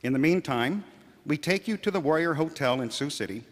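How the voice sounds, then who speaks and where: Man playing old radio bulletin announcer guy, formal, serious, a little high pitched, hall echo on it. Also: lively intonation, a little fast, close to an everyday voice. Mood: serious, official. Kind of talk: old-time radio newsreading. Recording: hall reverb.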